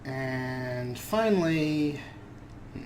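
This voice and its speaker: A man's voice: medium pitch, expressive, very slow, a little mellow, slight background noise. A man hosting a let's play, explaining game mods with dry, wry humor in casual commentary.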